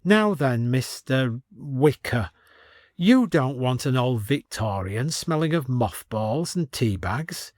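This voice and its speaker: A man's voice: mid-range voice, animated, takes it slowly, darkish tone, no echo, clean studio sound. A man voicing a theatrical saleswoman with a coaxing, would-be seductive manner in an audiobook story.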